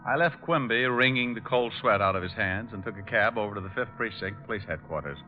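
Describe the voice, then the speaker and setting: An actor playing a cool, flip, deadpan private detective in an old-time radio detective show. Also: male voice, fairly low pitch, fairly quick, close to an everyday voice. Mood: hardboiled narration. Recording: muffled old radio recording, faint music.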